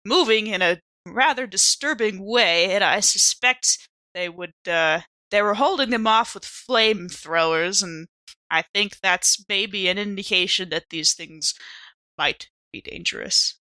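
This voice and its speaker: A woman's voice: mid-range voice, measured pace, pushed and bright, no room sound. A woman playing an evasive, irritable space station snoop in an improvised tabletop game, dry and exasperated in manner. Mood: urgent, uneasy. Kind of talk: improvised in-character tabletop roleplay.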